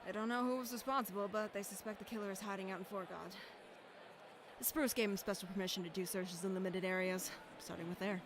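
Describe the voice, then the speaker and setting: A woman acts out a street-smart visual novel woman with a medium-pitched voice. She talks in blunt slang and stays matter-of-fact, over a faint background ambience. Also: steady tone, fast, background noise, lightly acted. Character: blunt, street-smart woman who gossips about local gangs. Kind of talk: visual novel character dialogue.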